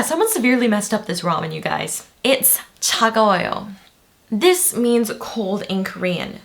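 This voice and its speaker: A woman's voice: mid-range voice, a bit bright. A woman presenting a chatty, playful video lesson, speaking straight to her viewers. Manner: chatty, playful, addresses viewers as "you guys". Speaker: language teacher.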